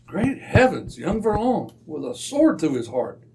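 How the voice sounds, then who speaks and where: An actor as a bumbling, earnest gentleman sidekick in a radio mystery. His voice is gravelly and a touch high, swinging up and down in pitch. He speaks in old-fashioned exclamations with some hesitancy. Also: big pitch swings, unhurried, slight background noise, rough recording. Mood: astonished. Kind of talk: old-time radio drama acting.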